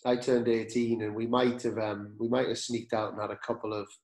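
A male podcast host telling a story and asking questions in a relaxed, agreeable conversational manner. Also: on the low side, brisk. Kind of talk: conversational interview. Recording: echoey room.

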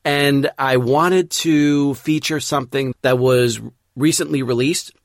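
A man's voice: medium pitch, no room sound. Man, mid-range voice, podcast host, enthusiastic show introduction, emphatic phrasing, dry close sound. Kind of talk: semi-scripted show introduction.

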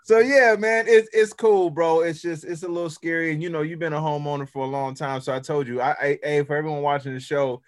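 A man's voice: a little high, fairly even intonation, brisk, hardly any pauses. A man hosting a podcast, chatting casually and animatedly with slang and many restarts. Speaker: podcast host. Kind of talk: casual chat.

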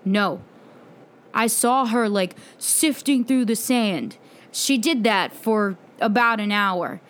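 Woman voicing a laconic, slow-talking young veteran. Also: fairly high pitch, animated. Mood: hesitant, matter-of-fact. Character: haunted young one-armed war veteran, laconic beachcomber.

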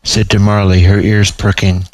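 A man's voice: lowish voice, level tone, normal speed, no echo. A man narrating a piece of fiction, reading his own story aloud.

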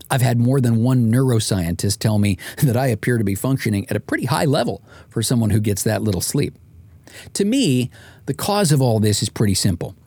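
A man's voice: medium pitch, a little mellow, no room sound. A middle-aged man reading an audiobook in the first person, with wry, dramatic comic emphasis. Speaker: author, podcast host and morning radio presenter.

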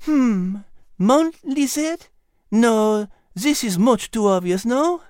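A woman's voice: fairly high pitch, expressive, slow, darkish tone, no room sound, studio quality. A woman voicing a curious, sweet young woman.

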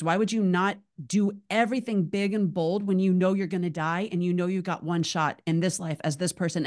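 A woman's voice: medium pitch, a little mellow. A woman hosting a podcast, chatting casually and rambling off on tangents. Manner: chatty, rambling, self-described tangent talker. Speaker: podcast host.

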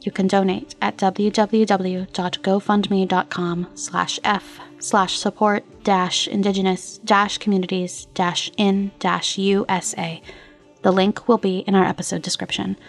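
A woman's voice: medium pitch, flat delivery, unhurried. A woman podcast host reading a scripted outro. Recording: music playing behind the voice. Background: music.

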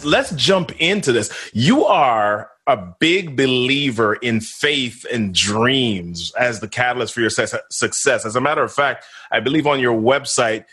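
A man's voice: mid-range voice, lively intonation, normal speed, few pauses, pushed and bright. A man hosting a podcast, upbeat and emphatic, speaking straight to his listeners. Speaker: podcast host.

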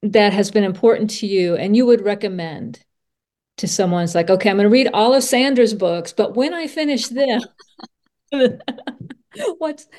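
A woman's voice: medium pitch, unhurried, darkish tone. A woman hosting a podcast interview, speaking informally and searching for words as she repeats herself. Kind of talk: conversational interview.

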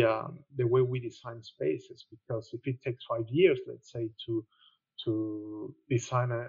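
Middle-aged Mexican man, architect guest, relaxed rambling storyteller, muffled remote recording. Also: medium pitch. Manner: relaxed storytelling with fillers and restarts. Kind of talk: conversational interview answer.

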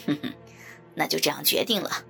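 A woman voicing a lecherous, menacing male shop villain with a breathy, taunting delivery in an audiobook story. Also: low-pitched, moderate pace, bright, forceful tone, soft background music, voice pushed down. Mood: gloating.

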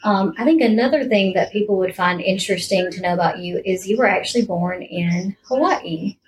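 A woman's voice: medium pitch, a little fast, low-quality recording. A woman speaking as a podcast host, asking questions in a conversational interview style.

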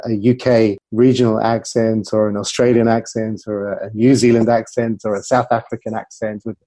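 A man's voice: lowish voice, mellow, dry recording, rough recording. A man speaking as a communication coach in an interview, explaining things through anecdotes. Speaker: communication coach and former broadcaster.

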